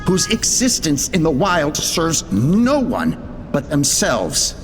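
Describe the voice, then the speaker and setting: Solemn zealous researcher. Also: male voice, on the high side, huge pitch swings, exaggerated voice. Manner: measured, solemn. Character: zealous male researcher reading his grim logs. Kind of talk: video game voice acting. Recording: long echoing reverb. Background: faint game music.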